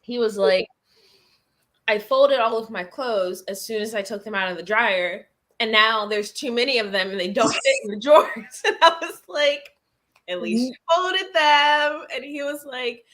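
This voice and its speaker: Bright-voiced young woman chatting casually. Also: a little high, moderate pace. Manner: animated storytelling, swears casually. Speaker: guest on a group reading livestream. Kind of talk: casual chat.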